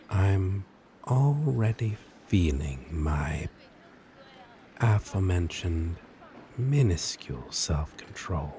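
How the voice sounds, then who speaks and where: Man acting a very slow, deep demon lover. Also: background noise, full character voice. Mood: seductive. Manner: slow, teasing, chuckling drawl. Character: flirtatious, possessive male demon lover, besotted and needy. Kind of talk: intimate ASMR roleplay.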